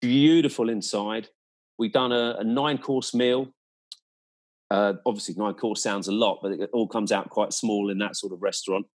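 A man's voice: fairly low pitch, steady tone, moderate pace. A man in a casual, conversational chat, recounting his experiences as a guest in an enthusiastic, descriptive and emphatic way.

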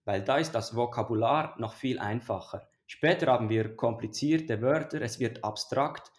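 A woman's voice: rumbling low, moderate pace. A woman who teaches and hosts a podcast, putting questions to a guest in an interview style. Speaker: podcast host, French teacher.

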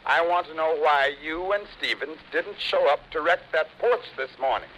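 A man's voice: fairly high pitch, animated, normal speed, pushed and bright. A man playing an exasperated, demanding company boss in an old radio comedy, stern and formal. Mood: stern, impatient. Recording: faintly noisy vintage radio recording.

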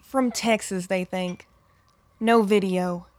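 A woman's voice: mid-range voice, steady tone, takes it slowly, darkish tone, close to an everyday voice. An actress playing a tough, weary woman in hiding in an audio drama, speaking bluntly and matter-of-factly.

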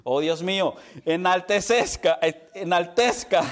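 A man's voice: sits high, slow pace. A man preaching a sermon as pastor, slipping in English words and laughing at his own stumbles.

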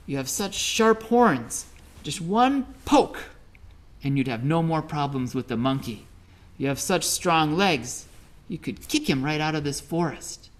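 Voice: expressive, measured pace, close to an everyday voice. A storyteller voicing a meddling magical forest sprite in a children's story, incredulous and exclaiming. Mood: indignant. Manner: high, exclaiming, incredulous questioning.

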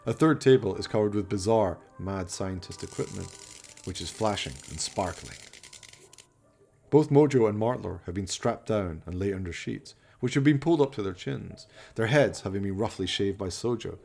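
A man narrating a scripted horror radio play in a theatrical, ominous, deliberate manner. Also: on the low side, creaky, darkish tone, a little hiss or hum.

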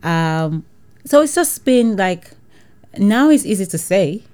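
A woman's voice: mid-range voice, sing-song, takes it slowly, a little mellow. A woman with a Nigerian accent, an entrepreneur telling her story in a casual interview, acting out dialogue and laughing often. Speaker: entrepreneur guest.

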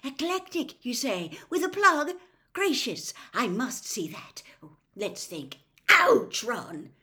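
A woman's voice: on the low side, expressive, slow, a bit bright. A woman voicing a kindly, muddled middle-aged wizard father in an audiobook, eager and apologetic. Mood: curious, excited.

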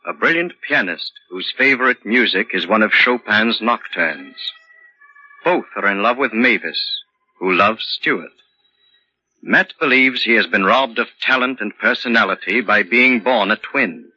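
A man's voice: on the low side, pushed and bright, slightly rough. A man narrating a 1940s radio drama in a dramatic, portentous manner. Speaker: announcer-narrator. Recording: muffled vintage radio recording. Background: music.

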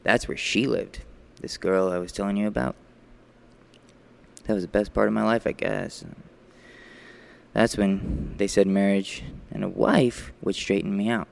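A man playing a brooding, menacing murderer in a radio thriller, taunting and deliberate, with bitterness and sharp commands. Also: lowish voice, steady tone, gravelly. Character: menacing, brooding male murderer toying with his captive. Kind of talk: classic radio thriller acting.

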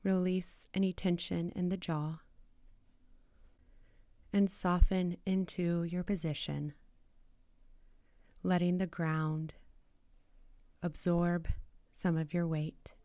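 Very slow, mellow woman guiding meditation. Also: fairly low pitch, flat delivery, no room sound. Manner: soothing, spacious, with long pauses between short phrases. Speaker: meditation guide. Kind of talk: guided meditation.

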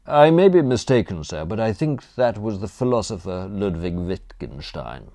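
A man voicing an unflappable, deferential gentleman's valet in an audiobook, speaking in measured, formal phrases. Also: lowish voice, sing-song, no echo, studio quality. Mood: calm, deferential. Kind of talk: audiobook character dialogue.

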